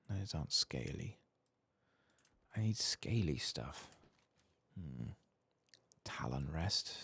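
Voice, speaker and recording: Man, deep gravelly murmur, slow pace, ASMR gaming commentary, drifting into whispers. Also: no echo. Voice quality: soft-spoken, often dropping to a whisper. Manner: unhurried, murmured musing to himself. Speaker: gaming streamer, ASMR channel host. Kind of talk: soft-spoken ASMR game commentary.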